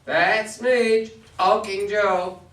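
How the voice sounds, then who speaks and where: A man playing a joking, scatterbrained astronaut in an amateur play reading, with halting, slightly muddled radio talk. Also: high voice, steady tone, fairly bright tone, full character voice. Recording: echoey room, distant microphone.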